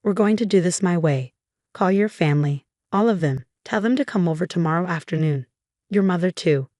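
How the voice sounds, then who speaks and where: A woman narrator plays a protective mother out for justice in a dramatic audio story. She is calm, determined and pointed, in a dry, close recording. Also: on the low side, brisk, dry recording, lightly acted. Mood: calm, commanding.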